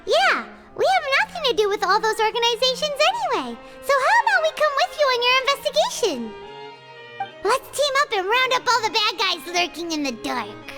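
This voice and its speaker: A woman voicing a tiny, excitable, boastful fairy companion in a video game, with a squeaky, childlike voice. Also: brisk. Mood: eager, flattered. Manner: exclamatory, talks of self in third person. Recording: game music behind the voice.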